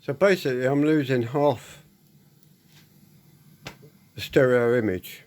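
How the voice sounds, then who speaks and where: A man's voice: medium pitch, slow pace, music in the background. Man casually explaining a technical demonstration. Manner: explanatory, repeats points and checks with tag questions. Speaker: presenter demonstrating an audio equipment fault.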